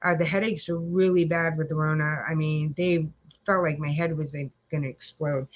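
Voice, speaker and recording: A woman's voice: sits low, steady tone, moderate pace, lo-fi sound. A woman hosting a casual live chat, congested, rambling with many fillers as she greets viewers. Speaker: livestream host.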